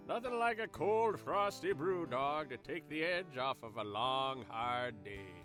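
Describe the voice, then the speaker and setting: A man's voice: medium pitch, expressive, takes it slowly, a bit bright, soft background music. A man playing a smug, corrupt small-town lawman with a folksy, taunting drawl in an audio drama. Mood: smug, lazy. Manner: drawling, folksy, taunting, calls himself by name.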